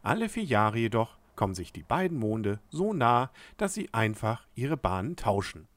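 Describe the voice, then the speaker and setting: A man voicing a calm male space companion who explains things in a teacherly tone, in a storybook reading. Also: mid-range voice, normal speed, no echo, natural voice. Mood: lecturing.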